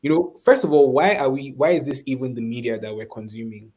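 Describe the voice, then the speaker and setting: A Nigerian man speaking reflectively and conversationally in an online webinar, often pausing and restarting as he searches for words. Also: medium pitch, expressive, a little mellow. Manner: thoughtful, halting, searching for words. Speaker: webinar speaker, course instructor.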